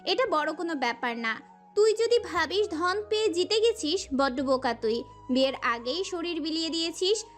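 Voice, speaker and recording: Woman acting a scornful, furious young woman, very high-pitched. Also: heavily acted. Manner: biting, contemptuous, emphatic taunting. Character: furious, scornful young woman berating her romantic rival. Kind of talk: dramatic audio story dialogue. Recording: music under the voice.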